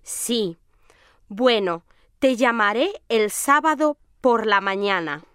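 A woman's voice: fairly high pitch, expressive, slow, fairly bright tone, clean tone, dry recording, studio quality. A woman playing a friendly young woman in a scripted language-lesson dialogue, speaking carefully. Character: friendly young woman from Madrid, aged nineteen.

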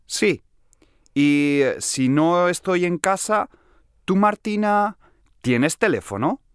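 A man playing a friendly young man in a scripted language-lesson dialogue, clearly enunciated. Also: sits high, animated, takes it slowly, no echo, clean studio sound. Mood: friendly, attentive. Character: friendly young man from Salamanca, aged twenty-three.

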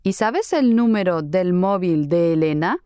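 A friendly young woman in a learner dialogue. Her voice is smooth and crisp, and she speaks slowly and carefully. The recording is dry and close. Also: lowish voice, lively intonation, mellow, no echo. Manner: clear, careful friendly delivery. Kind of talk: slow scripted dialogue for learners.

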